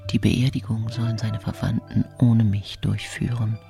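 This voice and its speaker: An actress playing the cold, furtive inner voice of a female poisoner, speaking in a breathy, conspiratorial whisper for radio drama. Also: extremely low pitch, level tone, moderate pace, dark tone, faint music behind. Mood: cold, secretive.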